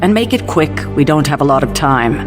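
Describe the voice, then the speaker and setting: A man's voice: a little high, sing-song, a little fast, over music. A man playing a stern, protective middle-aged mentor with a sardonic, commanding manner in a video game. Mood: impatient. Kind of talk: video game cutscene acting.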